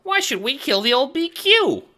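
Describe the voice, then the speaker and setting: A man voicing an earnest, dim-witted clownish gangster henchman in an audio drama, squeaky, eager and childlike. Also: big pitch swings, normal speed, pushed and bright, full character voice. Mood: curious.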